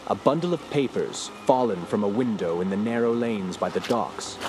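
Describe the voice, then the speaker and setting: An actor playing a dread-filled investigator, narrating in the first person with solemn, literary drama for a radio play. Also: male voice, fairly low pitch, expressive, normal speed, close to an everyday voice. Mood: somber, dread. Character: grave, dread-filled male investigator recounting his findings. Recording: clear background ambience noise.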